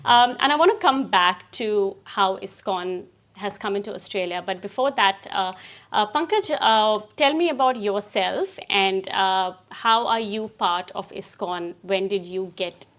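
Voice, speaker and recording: A woman's voice: medium pitch, measured pace, a bit bright. A woman hosting a talk show, presenting and putting questions to guests in a studio interview style.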